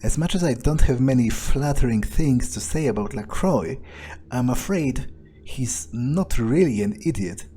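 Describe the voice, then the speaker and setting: A man roleplaying a surly, foul-mouthed vampire during a game, musing haltingly to himself in character. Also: mid-range voice, animated, mellow, breathy edge. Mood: brooding, musing. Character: surly, foul-mouthed, self-serving male vampire, broodingly thoughtful. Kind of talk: in-character gameplay monologue.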